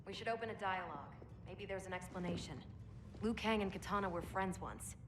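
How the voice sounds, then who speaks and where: An actress playing a plucky, irreverent young soldier in a video game, direct and informal, with an American accent. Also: female voice, medium pitch, fairly quick, background noise, close to an everyday voice. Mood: concerned, tactical. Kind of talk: dramatic video game acting.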